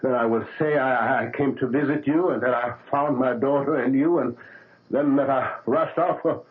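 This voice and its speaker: An actor playing a guilt-ridden older surgeon who stammers and hesitates in a 1970s radio drama. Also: male voice, medium pitch, steady tone, normal speed, close to an everyday voice. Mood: anxious. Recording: narrow phone-like old radio broadcast, faint hiss.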